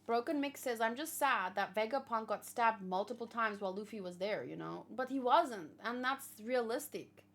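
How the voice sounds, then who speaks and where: A woman hosting a read-along, chatting casually with viewers and thinking aloud, with hesitant pauses and musing hums. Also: fairly high pitch, fairly bright tone. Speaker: host of a manga read-along reaction show.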